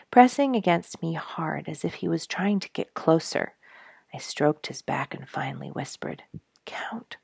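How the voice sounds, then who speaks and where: A woman reading a story aloud as a host, in measured, expressive storytelling phrases. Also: sits low, gravelly, breathy edge, dry recording. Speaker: story reader, show host. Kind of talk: scripted storybook narration read aloud.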